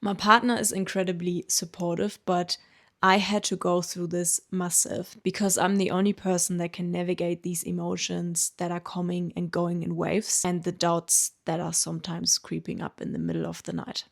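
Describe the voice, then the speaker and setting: A German woman in her late twenties, a native German speaker with a German accent, calmly telling her own story in an earnest, reflective vlog. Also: on the low side, moderate pace, vocal fry. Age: late 20s. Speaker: vlogger. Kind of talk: reflective personal narration.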